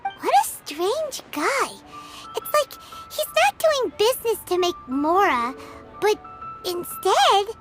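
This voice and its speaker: A woman voicing a tiny, curious female fairy companion in a game, with a high, squeaky, childlike voice, who talks about herself in the third person. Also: very animated, slow, exaggerated voice. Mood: musing. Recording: game audio with loud music behind.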